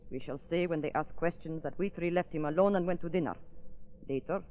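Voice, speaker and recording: Actress as a haughty villainess on tinny old radio. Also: female voice, deep voice, fairly quick, natural voice. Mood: cold, commanding. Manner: haughty, theatrical. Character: scheming, imperious villainess stepmother feigning grief. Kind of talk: 1940s radio acting. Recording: muffled, narrow, noisy old radio recording.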